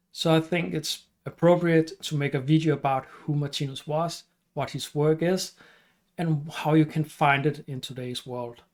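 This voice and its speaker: A middle-aged man with a Danish accent, hosting his channel and explaining at an unhurried pace with some fillers and restarts. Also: fairly high pitch, creaky. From Denmark. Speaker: channel host and teacher. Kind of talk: casual explainer talk to camera.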